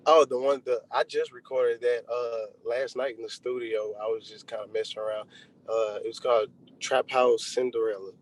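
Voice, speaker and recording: A man's voice: mid-range voice, steady tone, moderate pace, rough recording. Young man, slangy and conversational. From Texas, United States. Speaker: rapper being interviewed. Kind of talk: casual chat. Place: remote video call.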